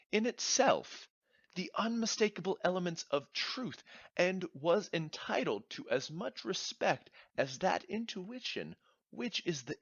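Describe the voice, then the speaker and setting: A man performing Gothic first-person narration as an anxious, gloomy nineteenth-century gentleman, formal, measured and expressive. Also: medium pitch, dry recording, full character voice. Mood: agitated, fervent. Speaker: performer reading a short story. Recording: dry, slightly dull lo-fi sound.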